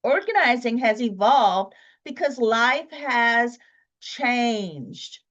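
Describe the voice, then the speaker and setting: A woman's voice: on the high side, lively intonation, very slow, fairly bright tone, lo-fi sound. A woman who is a professional organizer, hosting a casual solo podcast while congested with a cold, chatty with fillers and restarts.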